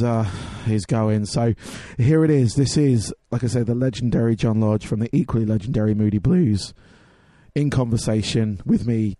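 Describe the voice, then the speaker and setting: A hoarse young man hosting his radio show and podcast, chatting casually with lots of ums and restarts. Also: medium pitch, mellow, dry recording. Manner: rambling, many ums and restarts. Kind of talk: casual chat.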